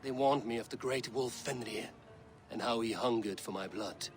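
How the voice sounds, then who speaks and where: A man speaking in a solemn, measured way as a video game hero recounting visions. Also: medium pitch. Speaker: protagonist. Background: game music.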